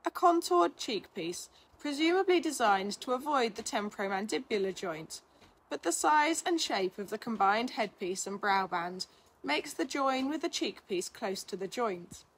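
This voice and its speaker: A woman narrates a written article in a fairly high voice with a husky, less clear edge. Her delivery is measured and instructional, as a reader explaining material to listeners. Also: lively intonation, rough recording. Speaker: reader of a blog, physiotherapist. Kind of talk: scripted narration, reading an article aloud.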